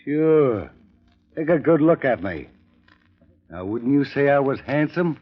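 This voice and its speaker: A man's voice: mid-range voice, very animated, unhurried. A man playing a cocky, curt gangster henchman with tough, clipped talk in an old radio drama. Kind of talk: 1940s-50s radio drama acting. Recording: muffled old radio recording.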